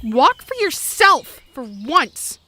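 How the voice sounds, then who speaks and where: A woman's voice: sits high, sing-song, slow pace, very noisy, exaggerated voice. A woman playing a bossy, exasperated, haughty queen in a comic stage-style audio drama. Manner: commanding, alarmist, haughty.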